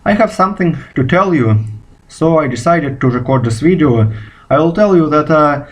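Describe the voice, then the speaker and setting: A man's voice: on the high side, lively intonation, poor audio. A Russian man speaking English as a second language, a physicist talking unscripted to camera, stopping often on "uh" fillers and restarts. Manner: halting, many "uh" fillers and restarts. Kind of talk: unscripted video response.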